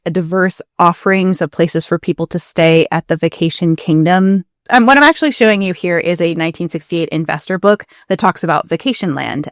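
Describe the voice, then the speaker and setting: A woman's voice: lowish voice, no room sound, clean studio sound. A woman co-hosting a podcast, explaining things in a casual, chatty, informal way with interest in the details. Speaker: podcast co-host.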